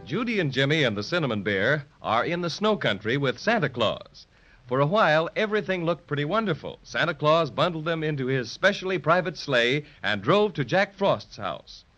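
Genial storyteller, bright and expressive, folksy 1930s radio narration, dull old recording. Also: male voice, a little high. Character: genial storyteller addressing children.

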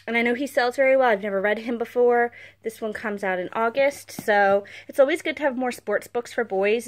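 A woman hosting a book vlog, giving casual unboxing commentary in an informal, chatty way. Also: fairly high pitch. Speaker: book blogger and video host. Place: carpeted room at home.

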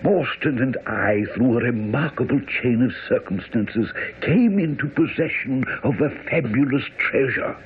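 An actor playing a dying, guilt-ridden old army major in a radio drama, weak and short of breath. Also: male voice, mid-range voice, expressive, unhurried, few pauses, lightly acted. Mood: weak, confessing. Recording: narrow, noisy old radio broadcast.

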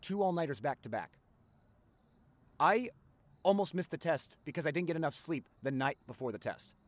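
A man's voice: a little high, animated, normal speed, dry recording, close to an everyday voice. Young, chatty best-friend guy played by a man. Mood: upbeat, joking. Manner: casual, slangy, addresses listener directly. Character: caring, chatty male college best friend, playful then tender. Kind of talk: ASMR roleplay monologue to the listener.

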